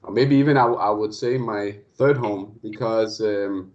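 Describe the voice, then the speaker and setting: A man's voice: lowish voice, measured pace, darkish tone. A man from Denmark giving the friendly, hesitant opening of an online guest lecture, full of fillers and restarts. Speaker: guest speaker. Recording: dull lo-fi online call audio.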